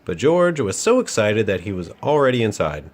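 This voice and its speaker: Low-pitched man reading a storybook. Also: big pitch swings, normal speed. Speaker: children's book reader, host. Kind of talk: read-aloud storybook narration to a child.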